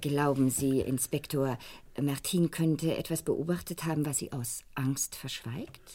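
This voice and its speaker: An actress playing a helpful, sympathetic French university secretary in a German crime radio play, measured and formal. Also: female voice, low voice, mellow. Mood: composed, concerned.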